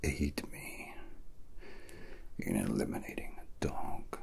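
A young man playing a cold, condescending villain in an ASMR roleplay, murmuring slow, menacing threats in a breathy whisper. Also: rumbling low, expressive, a little mellow, heavily acted. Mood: cold, scheming. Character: menacing, coldly calculating male bio-weapon villain, condescending captor.

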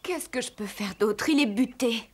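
A woman voicing a sweet, earnest young princess in a French cartoon dub, with expressive delivery. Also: on the high side, normal speed, slightly rough. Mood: annoyed. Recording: loud music under the voice.

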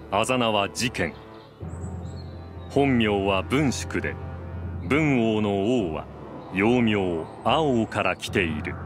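A man performing as a solemn, formal narrator of a historical war chronicle in a video game. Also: fairly low pitch. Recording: studio voice over loud game music.

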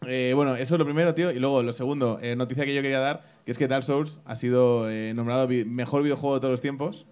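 A man's voice: mid-range voice, steady tone, fast, darkish tone, smooth. A man co-hosting a casual comedy podcast on a small bar stage, rambling, laughing often and addressing everyone as mate. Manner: rambling, peppers speech with "tío", bursts of laughter. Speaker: podcast co-host.

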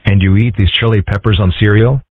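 Husky low-voiced man, deadpan and tinny. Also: brisk, no echo. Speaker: comic dub voice actor. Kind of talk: reading comic strip dialogue aloud.

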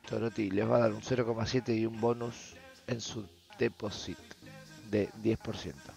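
A young adult man with an Argentine accent and a hoarse voice, commenting casually and slangily as he streams. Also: on the low side, steady tone, moderate pace, darkish tone, faint music behind. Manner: slangy, offhand. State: hoarse from shouting. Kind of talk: casual gaming video commentary. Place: small room at home, indoors.

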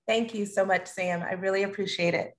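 A woman's voice: medium pitch, steady tone, brisk, fairly bright tone. A middle-aged woman hosting a talkback interview on a video call from a room at home. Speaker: host, theatre artistic director.

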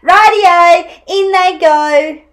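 A woman's voice: very high voice, very slow, a bit bright, voice pushed up, heavily acted. A woman voicing a boastful, gleeful male dingo from a storybook, exclaiming in an exuberant, sing-song way. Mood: delighted, boastful.

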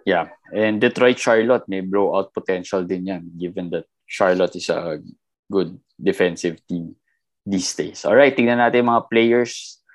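A man's voice: low voice, unhurried, dry recording. A young Filipino man co-hosting a fantasy basketball show over a video call, talking casually and mixing Tagalog with English. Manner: relaxed, code-switching Tagalog and English. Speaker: fantasy basketball podcast co-host. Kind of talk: casual chat.

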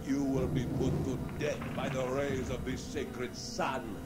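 A man acting slow, imperious Inca prince. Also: mid-range voice, little pitch movement, heavy background noise, lightly acted. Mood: menacing. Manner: solemn, commanding, declamatory. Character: stern, imperious male Inca ruler. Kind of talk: radio drama acting.

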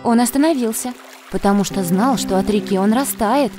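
A female narrator reads a children's fairy tale from a script. Her voice sits fairly high, and music plays clearly beneath it. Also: normal speed.

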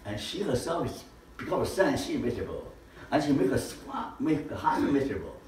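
Animated older man lecturing in echoey room. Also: medium pitch, quick pace, recorded from a distance, slight background noise. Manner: animated, gestures broadly, asks rhetorical questions. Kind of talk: informal teaching talk. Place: living room with projector screen. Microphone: far camera.

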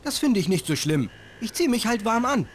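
A polite, hardy teenage boy detective in an adventure game. The voice is high and very lively in pitch, over faint outdoor ambience. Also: fairly quick, slight background noise. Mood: polite, upbeat. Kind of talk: scripted adventure game dialogue.

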